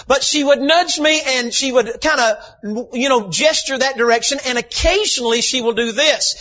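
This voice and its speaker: A man, a visiting preacher, slightly hoarse, telling folksy stories and building to shouted emphasis in a sermon. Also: very high voice, moderate pace. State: slightly hoarse from lack of sleep. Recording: dull lo-fi church recording.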